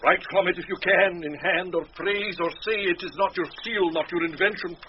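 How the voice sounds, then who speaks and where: A man playing a high-pitched, pompous wronged steward. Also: fairly even intonation, moderate pace, close to an everyday voice. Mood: bitter, accusing. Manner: formal, indignant, aggrieved. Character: pompous, wronged, self-important male steward. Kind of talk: classical Shakespearean radio acting. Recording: dull, faintly noisy old radio broadcast.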